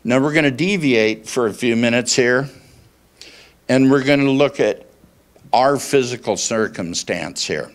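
A man in his sixties preaching conversationally, in deliberate phrases, putting questions to his congregation into a handheld microphone. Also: medium pitch. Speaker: pastor. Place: small church meeting room, indoors. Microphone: handheld microphone held at chest.

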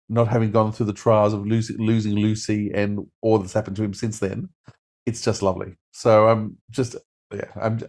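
Low-voiced, enthusiastic middle-aged man. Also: darkish tone. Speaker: podcast host. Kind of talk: casual review chat. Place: indoors at home. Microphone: video call.

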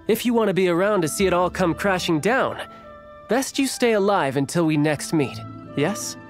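Game role: scheming young agent, suave, teasing, theatrical, music behind. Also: male voice, sits high, lively intonation, normal speed. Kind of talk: video game voice acting.

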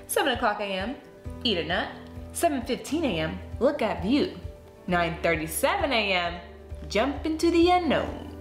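Woman, very animated storybook narrator, high voice, dramatic emphasis, roomy echo, music behind. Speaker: children's book read-aloud host. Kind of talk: expressive storybook read-aloud.

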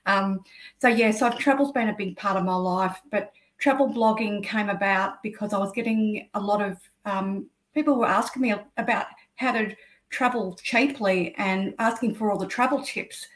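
A woman's voice: mid-range voice, steady tone, normal speed. A middle-aged woman with an Australian accent, explaining at length as an interview guest, with many ums. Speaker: guest, travel blogger. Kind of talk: video call interview. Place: indoor room. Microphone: video call. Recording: echoey room over video call.

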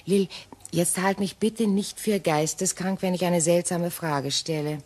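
An ageing widow in a crime radio play. Her voice sits on the low side and moves slowly, with hesitant, dramatic pauses. She sounds wistful and rattled. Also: steady tone, a little mellow. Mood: wistful, musing.

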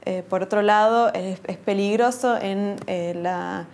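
A young woman, explaining calmly in an interview with frequent hesitations. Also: mid-range voice, a little hiss or hum. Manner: measured, hesitant with frequent fillers. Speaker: philosophy researcher, interviewee. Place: indoor room, seated interview.

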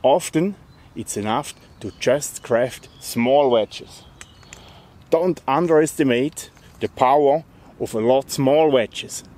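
A man's voice: mid-range voice, slow, a little mellow. A man, explaining a bushcraft task step by step in a forest. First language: German. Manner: plain, friendly, step-by-step explaining. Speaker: bushcraft instructor. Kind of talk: outdoor tutorial demonstration. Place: forest outdoors.

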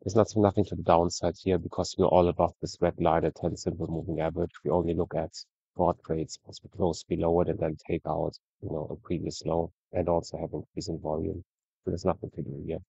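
A man giving a relaxed, conversational screen-share review of trading charts, often ending points with "right?". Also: deep voice, fairly quick, vocal fry, breathy edge, no room sound, highs cut off. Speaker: trading educator.

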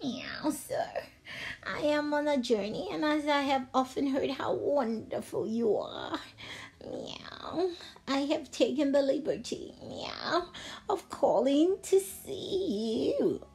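A woman's voice: high voice, expressive, slow, gravelly, a little mellow, heavily acted. A woman voicing a sly, scheming male talking cat who meows between his words. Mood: wheedling. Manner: meowing between words, fierce threats.

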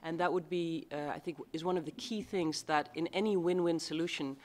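A woman's voice: low-pitched, steady tone, a little fast. Dutch woman with an American accent. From Netherlands. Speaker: panelist, former legislator. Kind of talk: conference panel remarks. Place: large conference room.

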